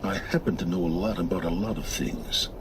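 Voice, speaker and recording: A man playing an aloof, secretive explorer in a video game, measured, cryptic and sure of himself. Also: sits low, steady tone, noisy background, natural voice. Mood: cool, knowing. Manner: measured, cryptic, self-assured. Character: aloof, secretive male explorer of forbidden ruins, knowing and independent. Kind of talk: video game cutscene dialogue.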